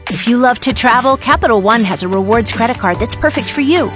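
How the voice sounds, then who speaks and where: A woman reading a radio ad in a smooth, upbeat way. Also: on the high side, lively intonation, moderate pace, soft background music. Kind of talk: radio ad narration.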